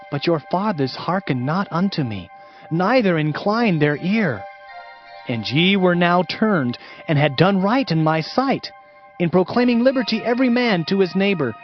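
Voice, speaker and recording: A man playing a stern, fervent Old Testament prophet in a declamatory, emphatic scripture reading. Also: high voice. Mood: stern, reproachful. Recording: dull audio with music behind.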